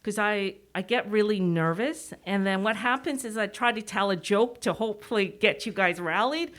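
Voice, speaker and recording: Female presenter, middle-aged, Canadian accent, mid-pitched voice, masked, chatty delivery partly from notes. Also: normal speed, fairly bright tone. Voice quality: speaking through a mask. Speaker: executive director giving a presentation.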